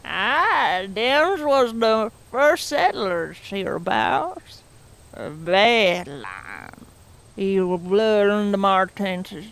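A woman's voice: medium pitch, sing-song, very slow, creaky, a bit bright, full character voice. An actress playing an ancient, sly mountain woman in her old age, with a rural drawl. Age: older.

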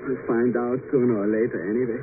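Through a noisy, thin old radio recording comes a man acting a brooding young son. He talks in a halting, sardonic way, heavy with bitterness, in dramatic fifties radio style. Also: mid-range voice, flat delivery, phone-quality sound, natural voice. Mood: bitter.

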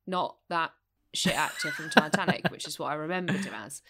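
A young woman co-hosting a casual podcast, rambling and hesitant, with animated asides. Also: deep voice, fairly quick, crowd noise. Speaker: podcast co-host. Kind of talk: casual chat.

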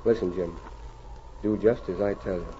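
An actor playing a firm, steady Mountie sergeant with a measured, authoritative way of talking in an old radio drama. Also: male voice, sits low, natural voice. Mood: calm, firm. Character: firm, steady male Mountie sergeant of the gold-rush Yukon. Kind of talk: 1940s radio drama acting. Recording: muffled, noisy old radio recording.

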